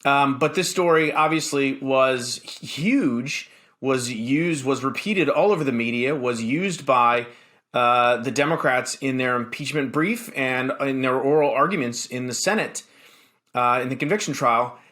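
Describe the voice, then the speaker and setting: An American man hosting a political talk show, giving conversational commentary in a halting, emphatic way that often repeats words. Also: medium pitch, a bit bright.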